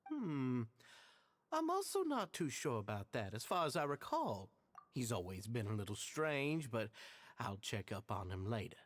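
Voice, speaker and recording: A man's voice: mid-range voice, big pitch swings, normal speed, no echo, close to an everyday voice. An actor playing an earnest male society leader in a game, polite, eager and a bit nervous.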